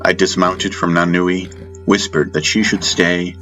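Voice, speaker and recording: A male audiobook narrator with a low, bright-edged voice tells a first-person fantasy tale. His delivery is measured and dramatic, with soft music running behind it. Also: steady tone, poor audio.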